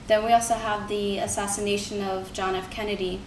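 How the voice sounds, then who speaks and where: A woman's voice: medium pitch, flat delivery, a little fast, darkish tone. A young woman giving an earnest, informal persuasive speech, like a student presenting to a class. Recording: roomy, distant mic, noisy background.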